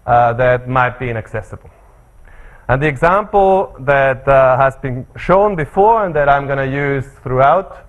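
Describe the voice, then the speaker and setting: A middle-aged man with an Austrian accent giving an academic seminar talk in a seminar room, measured and often pausing on fillers. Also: medium pitch. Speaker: lecturer.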